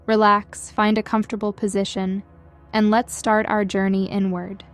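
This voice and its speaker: A woman reading a scripted guided meditation in a gentle, soothing, measured way, as a guide leading listeners. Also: mid-range voice, level tone, clear, resonant tone. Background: background music.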